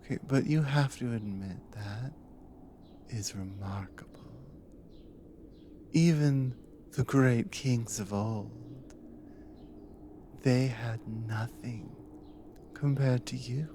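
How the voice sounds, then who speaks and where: A woman playing a devoted, protective Nordic adventurer wife in an intimate ASMR roleplay, drowsy and tender, breathy and often slipping into a whisper. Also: very deep voice, a little hiss or hum. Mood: sleepy awe. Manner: slow, soft, tender with pauses.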